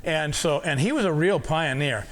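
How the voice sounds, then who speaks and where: Casual older guy, audio engineer type, reminiscing, lots of restarts and repeats, slightly high pitch. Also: normal speed, fairly bright tone. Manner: rambling, many restarts and repeated words. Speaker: audio engineer telling stories. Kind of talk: casual storytelling talk.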